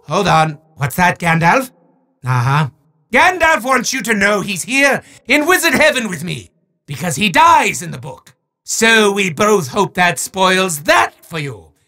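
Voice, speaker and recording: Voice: mid-range voice, sing-song, a little slow, exaggerated voice. A voice actor playing a petty, hammy villainous sorcerer who wagers for souls, announcing game events in a melodramatic, bombastic way. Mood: sore loser. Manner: melodramatic, bombastic shouting with sweeping swoops.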